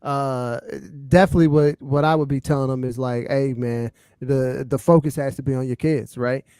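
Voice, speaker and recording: A man's voice: medium pitch, fairly even intonation, moderate pace, a little mellow, clean tone, dry recording, studio quality. A man co-hosting a podcast, giving his opinions in a slangy, earnest, conversational way. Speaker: podcast co-host. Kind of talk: casual chat, giving opinions.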